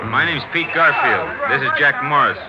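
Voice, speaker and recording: A man's voice: a little high, normal speed, close to an everyday voice. An actor playing a male street witness in a vintage radio police drama. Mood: blunt. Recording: muffled old radio recording, heavy noise.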